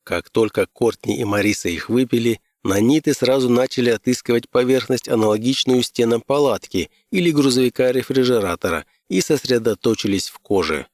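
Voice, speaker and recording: A man's voice: mid-range voice, a little fast, few pauses, darkish tone, no room sound. Man narrating an audiobook, very dry sound. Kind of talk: scripted audiobook narration of fiction.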